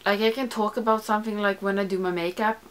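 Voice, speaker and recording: A woman's voice: medium pitch, steady tone, fast, clean tone. Young woman chatting casually to camera. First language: not English. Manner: rambling, self-correcting, frequent "like". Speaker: beauty YouTuber. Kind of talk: casual chat to camera, answering a tag. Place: small room with makeup shelves, indoors.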